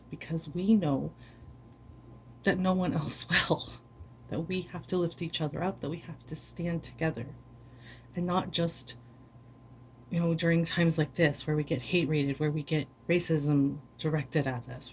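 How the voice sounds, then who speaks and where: A woman's voice: deep voice, low-quality recording. Woman, streamer, tired, earnest, emphatic, speaking straight to camera, faint hum behind. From United States. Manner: earnest, emphatic, repeats words for stress. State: weary. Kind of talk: impassioned speech to camera.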